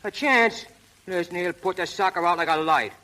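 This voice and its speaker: An actor playing a brash, hot-tempered but lovestruck prizefight manager, talking in rough street slang in 1930s radio style. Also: male voice, high-pitched, normal speed, sharp tone, low-quality recording. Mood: eager, awkward. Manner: tough, slangy, ungrammatical street talk. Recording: old 1930s radio broadcast recording.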